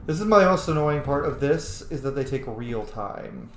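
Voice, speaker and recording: A man's voice: mid-range voice, lively intonation, moderate pace. A man giving casual, joking and sometimes profane commentary while playing a roleplaying game. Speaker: game player and host of a roleplay playthrough. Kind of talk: casual gameplay commentary, reading in-game messages aloud. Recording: roomy echo, faint background noise.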